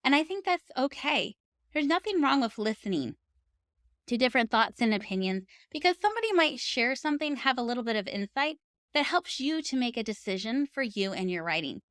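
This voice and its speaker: A woman's voice: fairly high pitch, big pitch swings, brisk, fairly bright tone, dry recording, studio quality. A woman speaking as a podcast host, giving advice in an earnest, conversational and encouraging way. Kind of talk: encouraging advice talk to camera for writers.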